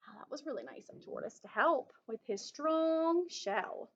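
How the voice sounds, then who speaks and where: A woman's voice: sits high, lively intonation, measured pace, a bit bright, lo-fi sound. A woman reading a children's story aloud in a teacherly way, stopping to put questions to her young listeners. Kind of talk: expressive children's read-aloud with asides and questions.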